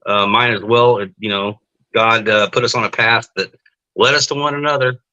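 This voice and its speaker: A man's voice: on the low side, steady tone, normal speed, pushed and bright, lo-fi sound. A man hosting a live-stream testimony show, chatting casually with his guest and viewers, with lots of fillers.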